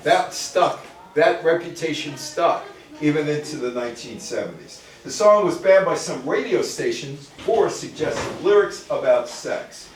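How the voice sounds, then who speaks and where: Older american guy giving a talk, kinda high voice, super echoey room, chatty and off mic. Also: animated, a little slow, slight background noise. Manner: conversational, quizzing listeners, digressing into asides. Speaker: lecturer. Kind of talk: public talk to a live audience, part read from notes, with questions to listeners. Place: indoor room with live audience. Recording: very echoey room, distant microphone.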